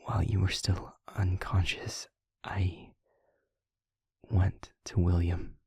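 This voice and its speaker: Casting: a man to play a gentle, protective vampire boyfriend for audio roleplay; low, soft, husky near-whisper, earnest and intimate. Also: level tone, dry recording, studio quality, full character voice. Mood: grave, confessional. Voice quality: breathy, husky, half-whispered. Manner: slow, halting, tender, earnest delivery. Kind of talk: intimate audio roleplay, ASMR-like scripted acting.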